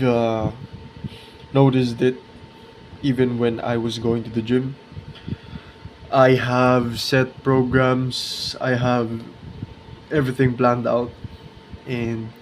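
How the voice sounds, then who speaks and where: A twenty-year-old man talking casually and reflectively to his viewers in a vlog, halting and correcting himself as he searches for words. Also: mid-range voice, slight background noise, rough recording. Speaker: art video creator.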